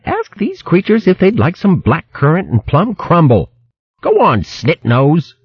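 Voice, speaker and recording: A man's voice: a little high, wildly swooping pitch, a little slow, slightly rough, dry recording, highs cut off, voice pushed up, exaggerated voice. A man voicing a fierce, bossy female hedgehog who scolds in rustic country speech.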